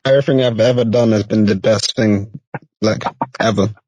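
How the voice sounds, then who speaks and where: A man's voice: on the low side, steady tone, moderate pace, lo-fi sound, background noise. A young man hosting a casual podcast interview, laid-back and slangy, laughing often. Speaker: podcast host.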